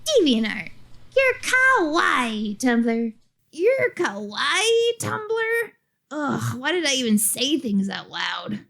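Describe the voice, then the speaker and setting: A woman's voice: high-pitched, big pitch swings, slow, creaky, fairly bright tone, exaggerated voice. A woman voicing an awkward, bashful teenage girl in an amateur webcomic dub, sounding self-conscious. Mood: shy, awkward. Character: awkward, bashful teenage girl artist, DeviantArt website personified.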